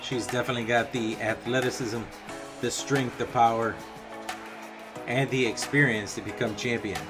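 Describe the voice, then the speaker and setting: A young man calls a video game wrestling match from his home mic, moving between laid-back asides and quick, excited play-by-play. His voice sits in a middle register and jumps up when the action heats up. Loud arena music and crowd noise from the game play underneath him. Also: mid-range voice. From Puerto Rican background. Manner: casual, chatty asides between calls, excited on big moves. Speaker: wrestling commentator. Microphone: home microphone, voice-over on game footage.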